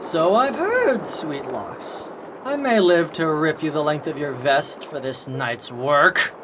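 A man's voice: very animated, slow pace. A man playing a menacing pirate captain in a radio play, blustering in salty speech with mocking courtesy. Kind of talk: old-time radio theater acting. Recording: noisy recording with ambient rumble.

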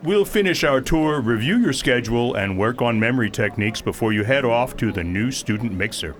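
A man playing a polite, literal-minded mentor guide in an audio drama, speaking in a formal, measured and precise way. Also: medium pitch, animated, a little hiss or hum, close to an everyday voice. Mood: courteous, unruffled. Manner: formal, measured, precise phrasing with few contractions.

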